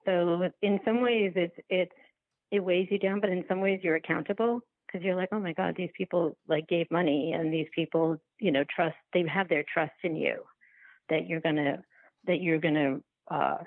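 Thin phone-quality audio, woman, lowish voice, casual interview guest, halting restarts. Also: fairly even intonation, dry recording. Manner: halting, restarts sentences, repeats phrases. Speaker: interview guest, documentary filmmaker. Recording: thin, dry phone-like line.

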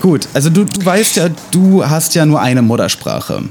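Mann mit leichtem russischem Akzent. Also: male voice, on the high side, very animated, a little mellow, a little hiss or hum. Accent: light Russian. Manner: halting, many fillers, dry self-irony. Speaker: podcast co-host. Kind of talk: casual podcast chat.

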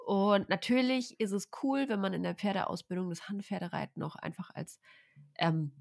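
A woman's voice: medium pitch, moderate pace, gravelly, no echo, clean studio sound. A woman co-hosting a casual podcast chat, sounding nasal from a cold, chatty with frequent filler sounds. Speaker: podcast co-host.

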